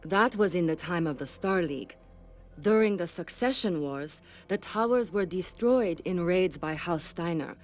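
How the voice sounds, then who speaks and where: Skeptical female sci-fi officer, lowish register, formal, factual, faint music, game cutscene. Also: expressive, measured pace. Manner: formal, matter-of-fact. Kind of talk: video game cutscene acting.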